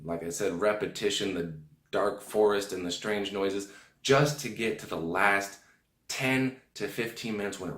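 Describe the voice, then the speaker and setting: A man with a lowish voice, a little hoarse from a cold, chats to his viewers as he reviews a film. His voice carries around an echoey room. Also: fairly bright tone. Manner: chatty, direct address to viewers. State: hoarse, under the weather. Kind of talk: casual film review to camera.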